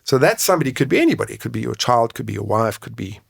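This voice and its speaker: A man's voice: mid-range voice, sing-song, very fast, gravelly, no room sound, clean studio sound. A man explaining things frankly and conversationally as an interview guest, often checking his points with a tag question. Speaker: guest, men's mental health coach and advocate.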